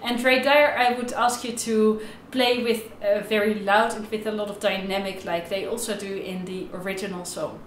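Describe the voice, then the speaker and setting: A woman's voice: medium pitch, steady tone, normal speed, few pauses, loud music behind the voice. A young woman giving an instructional violin tutorial to camera in an informal, explanatory way, with slightly non-native phrasing. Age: young adult. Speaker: violin teacher. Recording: roomy echo, violin playing at times.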